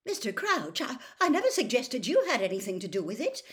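A woman's voice: fairly high pitch, big pitch swings, moderate pace, pitched above the performer's own voice. A woman voicing a hot-tempered, blustering male wizard official who is easily cowed, in an audiobook story. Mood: flustered. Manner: roaring, impatient, accusing.

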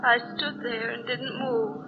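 Spectral dead bride, high voice, slow intense declamation, mournful, vintage radio horror. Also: female voice, steady tone. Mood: mournful, eerie. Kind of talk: 1940s radio horror acting. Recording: muffled old radio recording, music behind.

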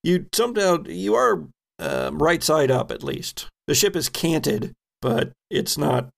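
A man narrating a tabletop role-playing game as game master, in a measured, halting way as he thinks aloud. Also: on the high side, big pitch swings, vocal fry.

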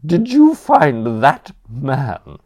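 A man's voice: mid-range voice, wildly swooping pitch, very slow, darkish tone, dry recording, clean studio sound, pitched above the performer's own voice, full character voice. A man voicing a stern, formidable elderly aunt who speaks with grand, clipped disapproval. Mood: appalled.

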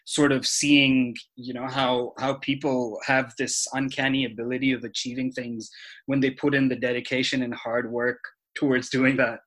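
A young man from Dubai, a podcast guest, talking in a thoughtful, measured way with frequent fillers. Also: medium pitch, flat delivery, fairly bright tone, poor audio. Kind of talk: conversational interview.

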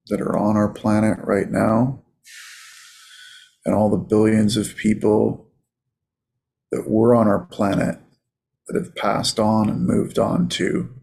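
A man leading a guided meditation as a coach, in a soothing, casual, unhurried manner. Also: fairly low pitch, gravelly, warm tone.

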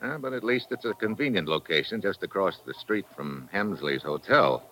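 An actor playing a tough, terse American investigator with a dry wisecracking manner in a 1950s radio detective drama. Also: male voice, low voice, expressive, normal speed, a bit bright, a little hiss or hum. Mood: dry, wry.

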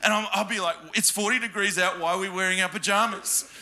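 A man's voice: sits high, steady tone, fast talker, sharp tone, poor audio. An Australian man preaching a sermon in church, emphatic and joking with the congregation, often asking them questions. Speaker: pastor. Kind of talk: sermon with personal stories. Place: church hall before a congregation.

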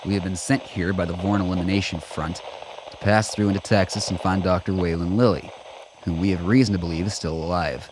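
An actor playing a controlled, stern older army sergeant with formal, clipped delivery in a radio play. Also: male voice, low-pitched, fairly even intonation, fairly quick, close to an everyday voice. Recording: clearly noisy ambience behind voice.

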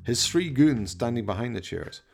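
A man's voice: mid-range voice, expressive, normal speed, vocal fry, husky, soft background music. A man narrating a comic horror radio play with theatrical, dramatic storytelling.

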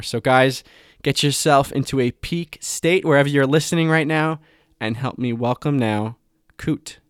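Host: upbeat man, mid pitch, dry close sound, hyped delivery. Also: clear, resonant tone, no echo. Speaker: podcast host. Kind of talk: podcast intro and interview.

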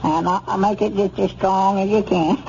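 An actor playing an excitable old country storekeeper with a rural Arkansas accent, folksy and eager, in a 1930s radio comedy. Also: male voice, high-pitched, unhurried. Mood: gleeful. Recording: muffled, noisy 1930s radio recording.

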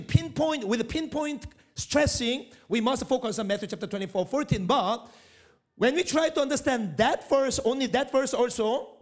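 A middle-aged man with a Korean accent, whose first language is Korean, preaching a sermon in halting English with restarts and rising emphasis. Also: extremely high pitch. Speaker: pastor, missionary.

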